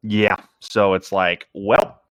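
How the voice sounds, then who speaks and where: A man talking in an animated, informal way in a casual chat. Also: lowish voice, slow pace, clean tone, dry recording.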